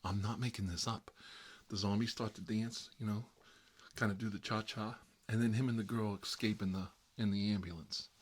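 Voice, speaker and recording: A man's voice: lowish voice, fairly even intonation, normal speed, husky. A man with an American accent playing a chatty, hapless fellow who lives with his mother, rambling in folksy, opinionated small talk. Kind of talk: improvised roleplay monologue.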